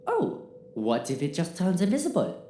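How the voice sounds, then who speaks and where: A reasoning, wry female crew member, played by a woman with a low, mellow voice. She coaxes deliberately in sci-fi audio drama style, in a roomy recording with faint noise. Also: wildly swooping pitch. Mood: curious, reasoning. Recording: echoey room with faint noise.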